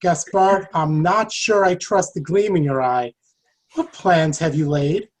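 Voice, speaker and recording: A man playing a loyal, devout medieval French soldier in a play reading, earnest and formal with a husky voice. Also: fairly high pitch, unhurried. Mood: wary caution. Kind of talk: stage play table read.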